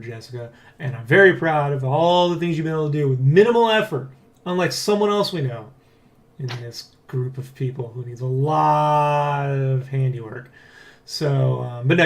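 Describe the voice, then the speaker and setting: Excitable, higher-pitched man hosting a casual vlog. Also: normal speed. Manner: excitable, rambling, freely swearing. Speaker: host of a development blog. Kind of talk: casual vlog chat, addressing viewers.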